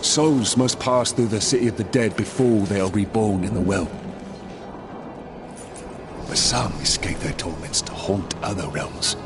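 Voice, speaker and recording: Casting: male actor as embittered dead conqueror, low-leaning and unhurried, grave, game cutscene with loud music. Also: close to an everyday voice. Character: proud, bitter undead warrior and former conqueror. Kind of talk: video game cutscene acting.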